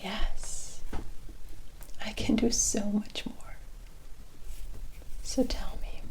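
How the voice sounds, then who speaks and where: Woman playing a slow, whispering, mysterious witch. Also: medium pitch, flat delivery, darkish tone, low-quality recording. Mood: proud, intriguing. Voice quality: whispered, breathy. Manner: slow, deliberate, coy, theatrical, addressing the listener as "my dear". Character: mysterious, sly, teasing woman witch who bargains. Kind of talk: whispered ASMR fantasy roleplay.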